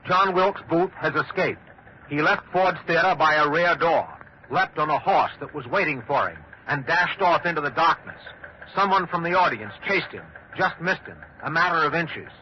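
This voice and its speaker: An old, dull radio recording with faint noise. An actor plays an earnest male newsman with a slightly raised pitch. He delivers grave, emphatic bulletins in 1940s radio drama style. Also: lively intonation, moderate pace, natural voice. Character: earnest male studio newsman handling breaking news. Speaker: radio news anchor.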